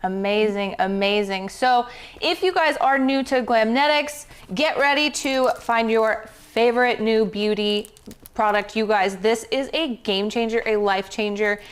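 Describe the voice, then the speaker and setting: A young woman hosting a livestream, a hairdresser and makeup artist, talking brightly and addressing her viewers. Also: fairly high pitch, unhurried, clean tone. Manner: bubbly, addresses viewers as "you guys".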